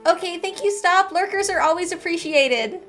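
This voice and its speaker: A woman's voice: very high voice, moderate pace, music in the background. A woman game streamer reading story text aloud and commenting in a casual, agreeable way during a live playthrough.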